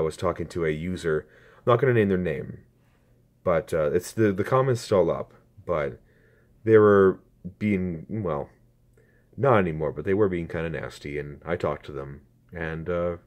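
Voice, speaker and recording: A man in his twenties talks to his viewers through a phone camera, earnest and halting, with a strained throat. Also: sits low, darkish tone. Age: 20s. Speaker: YouTuber. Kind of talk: casual talk to camera.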